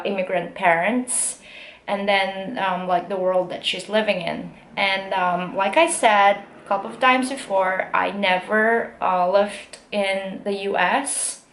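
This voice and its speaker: A young woman with a Korean accent and American-style English, telling a story casually with many fillers and pauses. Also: medium pitch, a bit bright. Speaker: aspiring actress. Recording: echoey room.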